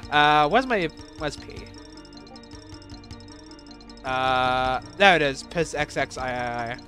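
A man's voice: mid-range voice, lively intonation, takes it slowly, bright, forceful tone, faint music behind. A young man casually commenting on his own game levels as he plays, rambling and self-deprecating, with lots of fillers and restarts.

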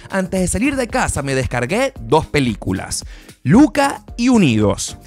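A man narrating a scripted tech review in his vlog, with an enthusiastic storytelling manner. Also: a little high. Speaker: tech vlogger.

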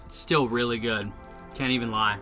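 A man's voice: mid-range voice, steady tone, normal speed, pushed and bright, soft background music. A young man talking casually to camera from inside a truck camper at night, chatty and joking. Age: young adult. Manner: chatty, laid-back, joking. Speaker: vlogger. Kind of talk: casual vlog talk to camera. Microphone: camera mic at close range.

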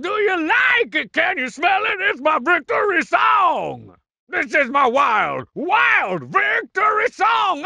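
A man voicing a cocky, juvenile, short-tempered masked cartoon villain for a video game, gravelly and raspy, with boastful, mocking, slangy delivery. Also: very high-pitched, sharp tone, dry recording, heavily acted. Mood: triumphant. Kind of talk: video game character barks.

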